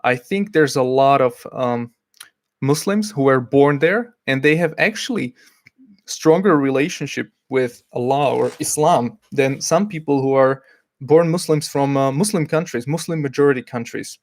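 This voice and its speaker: A man's voice: medium pitch, moderate pace, no room sound, studio quality. A man from Slovakia, hosting a casual livestream, talking hesitantly and reading out chat messages. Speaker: livestream host.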